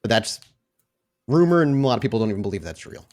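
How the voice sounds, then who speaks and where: A man narrating a tabletop roleplaying game as game master, explaining in a measured way. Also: medium pitch, sing-song, a little mellow.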